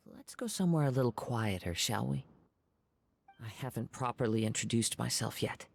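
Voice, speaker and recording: An actor playing a calm, courteous young wandering samurai in a video game, with measured, formal, poetic speech. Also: male voice, mid-range voice, gravelly. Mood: composed, courteous. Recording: music behind.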